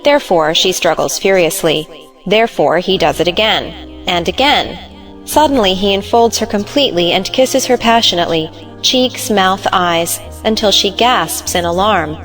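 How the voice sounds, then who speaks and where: A woman's voice: medium pitch, moderate pace, a bit bright, soft background music. A woman giving scripted narration, reading out the stage directions of a play.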